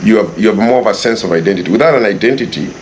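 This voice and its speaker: A man's voice: fairly low pitch, animated, racing. A man with a Kenyan accent answering interview questions in an earnest, advice-giving way, repeating phrases for emphasis. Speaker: interview guest, property developer. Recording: echoey, distant mic, faint background noise.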